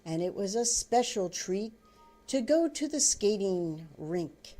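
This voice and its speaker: An older woman reading her own book aloud as storybook narration, in deliberate, halting phrases. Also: mid-range voice, animated, dark tone, soft background music.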